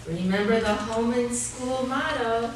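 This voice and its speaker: A woman playing a doting, brisk 1950s housewife and mother in a live old-time radio play. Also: fairly high pitch, lightly acted. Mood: reassuring. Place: theater stage before live audience. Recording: echoey noisy live stage, distant mic.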